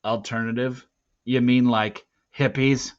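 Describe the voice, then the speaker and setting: A man voicing a gruff police officer in an audiobook, questioning in a blunt, suspicious, incredulous manner. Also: medium pitch, little pitch movement, a little slow, lightly acted. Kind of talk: acted dialogue in an audiobook read-aloud. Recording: slightly muffled, dull recording.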